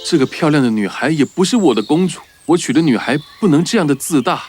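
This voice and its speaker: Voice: medium pitch, expressive, fast, a little mellow, breathy edge, faint music behind. An earnest young prince. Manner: polite and principled. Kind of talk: cartoon dubbing.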